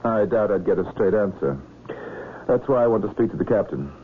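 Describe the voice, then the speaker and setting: On a noisy, narrow old radio recording, an actor plays a male police investigator with a low voice. He questions people methodically and pointedly, firm and skeptical, in classic radio mystery style. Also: lively intonation, a little fast, natural voice. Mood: brisk, firm. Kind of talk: vintage radio mystery drama acting. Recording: noisy, band-limited old radio broadcast.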